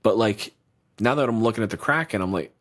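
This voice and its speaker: Blunt low-voiced man. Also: fairly bright tone. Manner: blunt, profane, talks in quick bursts. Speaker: podcast co-host. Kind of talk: casual podcast banter.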